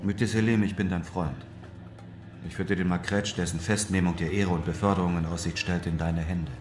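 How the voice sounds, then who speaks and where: An actor playing a shrewd, composed European traveller in a radio drama, speaking in a measured, assured way. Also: male voice, sits low, fairly even intonation, a little mellow, echoey room, soft background music, close to an everyday voice. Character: confident, shrewd European traveller, narrating his adventures. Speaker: narrator and lead actor. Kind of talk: radio drama acting and narration.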